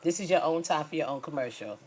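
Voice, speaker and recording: A woman's voice: deep voice, expressive, fast, darkish tone. A woman hosting a local interview show and speaking to viewers. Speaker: TV show host.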